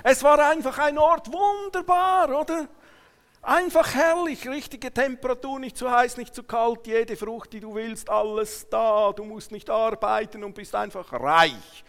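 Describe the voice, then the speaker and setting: A man preaches to a congregation in a high voice with a Swiss German accent. His delivery is emphatic, moving between quiet asides and raised declarations. Long reverberation from the hall surrounds his words. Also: a little slow. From Switzerland. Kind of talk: sermon to a congregation.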